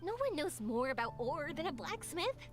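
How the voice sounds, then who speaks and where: A woman's voice: high voice, big pitch swings, moderate pace. A woman voicing a cheerful, earnest young woman who speaks reflectively. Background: game music.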